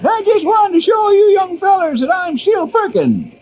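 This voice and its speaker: An actor playing a spry, cantankerous old sidekick with a folksy, teasing manner in an old radio western. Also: male voice, very high-pitched, big pitch swings, moderate pace, dull audio. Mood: cackling, feisty. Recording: noisy old time radio recording.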